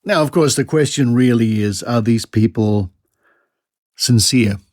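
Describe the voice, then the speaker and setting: A man's voice: medium pitch, unhurried, a little mellow, no room sound. A male podcast host with an Australian accent, commenting with dry, mock-incredulous sarcasm.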